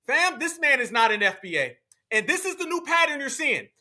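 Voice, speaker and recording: Heated high-voiced Southern man. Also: very animated, fairly quick, pushed and bright. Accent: Southern American. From American South. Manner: heated, mocking, addresses viewers as "y'all" and "fam". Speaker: commentary host reacting to clips. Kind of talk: reaction commentary.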